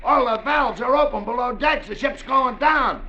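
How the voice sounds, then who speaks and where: A man's voice: very high-pitched, moderate pace, bright, forceful tone. An actor playing a frightened sailor, urgent and pleading as he bargains in an old-time radio drama. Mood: urgent, bargaining. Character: McGraw, pleading shipmate, frightened and bargaining for his life. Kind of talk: 1940s-50s radio drama acting. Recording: muffled, faintly noisy vintage radio.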